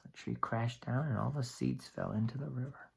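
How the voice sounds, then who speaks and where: A teacher reading a picture book aloud to young children, explaining things simply with expressive asides. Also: medium pitch, normal speed, creaky, a little hiss or hum.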